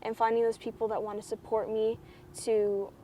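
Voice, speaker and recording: A woman's voice: a little high, level tone, normal speed, dark tone, slight background noise. Reflective teenage girl, filler-heavy speech. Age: teens. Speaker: student athlete guest. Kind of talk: candid interview answers. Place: school campus, indoors.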